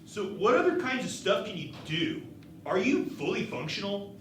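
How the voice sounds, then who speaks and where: A man's voice: high-pitched, very animated, normal speed, distant mic. A man playing a brash, dim, over-eager young ensign in a comedic parody radio play. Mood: eager, suggestive. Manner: eager, goofy. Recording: echoey room, off-mic, faint noise.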